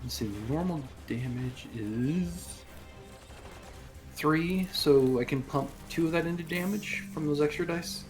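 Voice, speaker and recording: A man's voice: a little high, a little slow, music in the background. A man chatting casually as a player in a tabletop roleplaying game.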